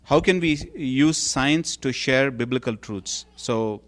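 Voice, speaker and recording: A man's voice: medium pitch, a little slow. Hesitant male Q&A moderator. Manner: hesitant, lots of ums and uhs. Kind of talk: reading out audience questions. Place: church hall.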